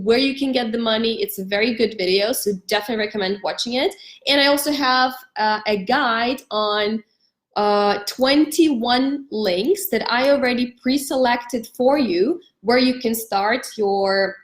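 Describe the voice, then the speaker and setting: A young woman from Ukraine hosting a live stream, giving friendly advice with many pauses, fillers and restarts. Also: fairly high pitch, roomy sound. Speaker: host of a live stream on studying abroad.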